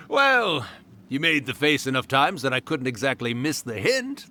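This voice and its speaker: A hammy old yokai spirit. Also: male voice, medium pitch, huge pitch swings, slow pace, sharp tone, heavily acted. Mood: teasing. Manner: hammy, switches to mock-villain boasting and laughter. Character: theatrical old male yokai spirit author, mischievous. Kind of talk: video game voice acting.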